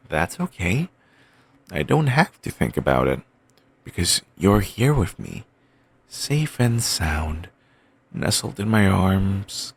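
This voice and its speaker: Man playing obsessive boyfriend, soft rambling, deep voice, lively lilting delivery. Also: gravelly, husky, full character voice. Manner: soft, rambling, affectionate murmuring. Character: obsessive doting young adult boyfriend. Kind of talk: roleplay audio, bedtime comfort.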